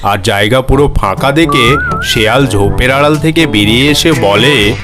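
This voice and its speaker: A man gives scripted storybook narration for a cartoon. His voice sits at a medium pitch, with music clearly playing behind it. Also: wildly swooping pitch, moderate pace.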